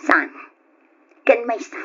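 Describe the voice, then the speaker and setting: A woman playing a single mother in an Ilocano radio drama, with a husky voice and an emotional delivery. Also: slow, sharp tone. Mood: guarded, hurt. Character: Donna, single mother, tender with child, guarded with ex-lover. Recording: muffled lo-fi old radio recording.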